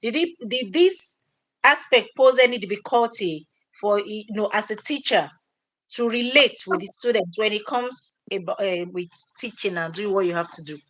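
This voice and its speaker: A woman's voice: mid-range voice, moderate pace, fairly bright tone, rough recording. A woman hosting an interview who asks long, searching questions. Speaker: interviewer, host. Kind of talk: interview, listening with short replies.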